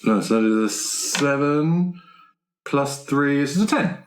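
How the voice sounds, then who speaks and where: A man's voice: medium pitch, lively intonation, slow. A man playing a tabletop roleplaying game in a casual, improvised way, speaking in hesitant, repetitive phrases. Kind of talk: casual improvised in-character play. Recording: roomy echo, faint noise.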